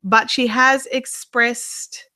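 A woman's voice: on the high side, fairly even intonation, extremely slow, sharp tone. A woman in her thirties, a yarn dyer and vlogger, chatting on a live show from her living room couch with the camera in front of her. She talks in a rambling way, with lots of ums and restarts, and gushes over yarn. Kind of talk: casual live chat show-and-tell.

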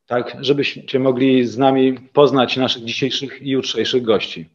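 A man's voice: mid-range voice, steady tone, normal speed, highs cut off. A man in his thirties co-hosting a live festival stream from a studio, upbeat and finishing his partner's sentences. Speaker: festival co-host. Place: studio set in an institute.

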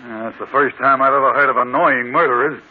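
An actor playing a brisk, blunt police inspector in an old radio mystery, speaking plainly and colloquially. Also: male voice, mid-range voice, fairly even intonation, slightly rough, narrow, tinny audio, close to an everyday voice. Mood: matter-of-fact. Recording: noisy, thin old radio broadcast.

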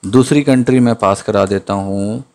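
A man giving a screen-recorded coding lesson, thinking aloud as he types and slowing down to read out the code. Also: on the low side, darkish tone. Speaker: programming tutor. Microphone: voice-over on screen capture.

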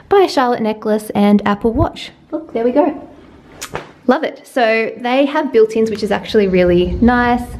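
A woman's voice: on the high side, dark tone. A young woman chatting casually and cheerfully as she walks the camera through her empty apartment, laughing often. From Australia. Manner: chatty and upbeat, with frequent laughs. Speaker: vlogger. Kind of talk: casual apartment tour vlog. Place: empty new apartment, indoors. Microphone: handheld camera at arm's length. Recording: echoey empty rooms.

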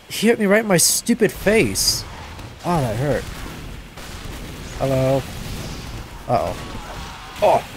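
A young man giving casual gameplay commentary, excitable, yelping and laughing at the action, his voice a little stuffed up. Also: sits high, vocal fry, slight background noise. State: a bit stuffed up from crying. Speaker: gaming channel host.

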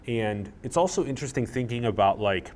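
A man in his thirties hosting an interview, talking in a rambling, animated way with lots of "like", on a lapel mic in a small room. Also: medium pitch, a little fast, slight background noise. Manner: rambling, animated, uses "like" a lot. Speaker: podcast host. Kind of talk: casual interview chat. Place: small plain room indoors.

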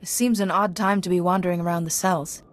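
A woman playing a calm, principled Jedi Master in a video game, composed, measured and formal. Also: lowish voice, no echo, natural voice. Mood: composed, resolute. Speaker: game protagonist. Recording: music clearly under the voice.

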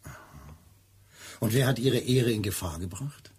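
Very husky, dry police inspector. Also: male voice, lowish voice, expressive, moderate pace, darkish tone. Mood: probing, skeptical. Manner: probing, dry, hesitant lead-ins. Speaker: actor in a radio play. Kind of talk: German radio drama acting.

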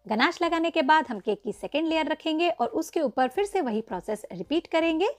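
A woman's voice: sits high, expressive, fairly quick, faint music behind. A woman narrating a step-by-step cake icing tutorial, patiently instructing viewers and calling them friends. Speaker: cooking channel host. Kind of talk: step-by-step baking tutorial voice-over.

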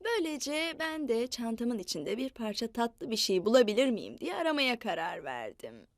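A woman telling a story to young children in a playful, expressive, repetitive way. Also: on the high side, no room sound, clean studio sound. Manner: slow, repetitive, expressive delivery with animal mooing.

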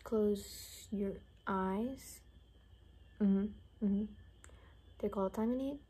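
A young woman doing an ASMR roleplay in soft, half-whispered, gossipy rambling chat. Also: mid-range voice, drawn-out words, husky. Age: young adult. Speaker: ASMR performer. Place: small bedroom, indoors.

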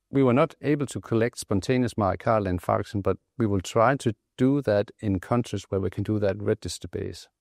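A middle-aged man with a Danish accent explains research findings as a physician in a measured, matter-of-fact interview manner. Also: on the low side, vocal fry, darkish tone, dry recording, studio quality. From Denmark. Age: middle-aged, around 60. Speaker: physician and clinical trial investigator. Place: indoor interview set, close dry sound. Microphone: lapel microphone.

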